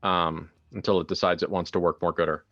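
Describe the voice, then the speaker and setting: A man running a horror tabletop game as game master, narrating deliberately and haltingly with casual asides. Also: deep voice, level tone, fairly bright tone, highs cut off.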